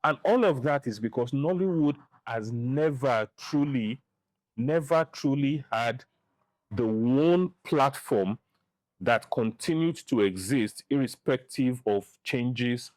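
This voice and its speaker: A middle-aged man with a Nigerian accent giving opinions in a podcast interview, speaking deliberately and repeating phrases for emphasis. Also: mid-range voice, animated, a little mellow, no echo, low-quality recording. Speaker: interview guest, film director and writer.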